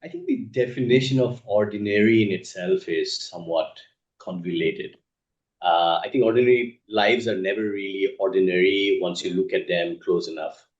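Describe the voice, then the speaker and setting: A man from northeast India with an Indian accent, giving reflective, thoughtful interview answers as an author, measured and pausing mid-sentence. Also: sits low, expressive. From Assam, northeast India. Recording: echoey room, dull muffled sound.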